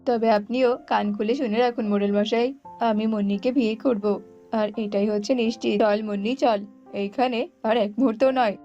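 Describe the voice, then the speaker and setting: A woman's voice: medium pitch, steady tone, a little fast, dark tone, lightly acted. A woman voicing a defiant, earnest young male bird in a cartoon. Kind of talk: cartoon dubbing. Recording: muffled audio with background music.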